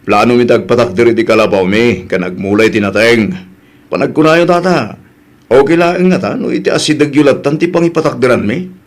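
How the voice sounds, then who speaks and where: Guy acting as a humble farmer husband, ilocano accent, easygoing n polite, mid pitched voice. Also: a little fast, close to an everyday voice. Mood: polite, uneasy. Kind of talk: Ilocano audio drama acting.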